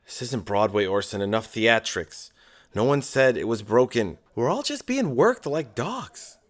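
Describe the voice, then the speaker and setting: Guy doing a blunt fed-up writer, animated voice, a bit off mic. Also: mid-range voice, measured pace. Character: blunt, weary male scriptwriter. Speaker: scriptwriter. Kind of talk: audio drama acting.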